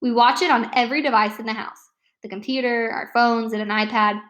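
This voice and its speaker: Expressive woman reading a story, higher voice. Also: brisk. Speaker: read-aloud narrator. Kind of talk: expressive children's book read-aloud in first person.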